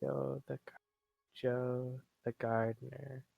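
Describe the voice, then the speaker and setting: A young man playing a tabletop roleplaying game, speaking in character in a halting, stammering way. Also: medium pitch, little pitch movement, creaky, breathy edge, no room sound, dull audio. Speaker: player.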